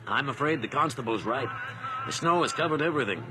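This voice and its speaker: Man playing a courteous old judge, lively intonation. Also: medium pitch, noisy background, lightly acted. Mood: politely probing. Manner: polite, measured, inquisitive. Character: courteous, shrewd, inquisitive old male judge. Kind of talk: radio drama acting.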